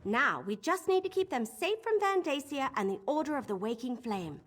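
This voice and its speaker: An actress playing an earnest, excitable young female adventurer in a video game, with an expressive, exclamatory delivery. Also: high voice, moderate pace, a little hiss or hum. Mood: concerned, resolute.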